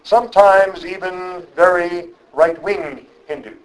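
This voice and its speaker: A middle-aged man giving a reflective public lecture, speaking in a measured, thoughtful way and often pausing on um and uh. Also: sits high, poor audio.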